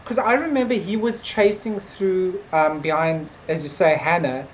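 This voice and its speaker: A man's voice: high-pitched, normal speed, highs cut off. Middle-aged male co-host, rambling and tentative, casual review, audible background noise. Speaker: podcast co-host. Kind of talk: casual discussion of a TV series episode.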